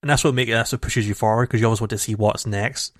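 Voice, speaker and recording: A man with a fairly low voice co-hosts a casual gaming podcast, wandering through his thoughts with plenty of restarts and fillers. His audio is dry and raw, taken straight from a home computer mic with no room sound. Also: steady tone, fast talker, fairly bright tone, dry recording. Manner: rambling, many restarts and fillers. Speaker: podcast co-host. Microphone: home computer mic over a call.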